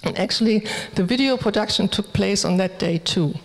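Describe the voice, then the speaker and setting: A woman giving an informal project presentation, speaking in a halting, hesitant way as a native German speaker. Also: mid-range voice. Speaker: conference presenter. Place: hall, presenting to an audience. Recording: reverberant hall sound.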